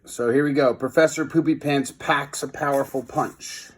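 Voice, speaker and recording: A man hosting a children's read-aloud, moving between expressive storybook reading and informal, hesitant asides. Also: mid-range voice, normal speed, husky.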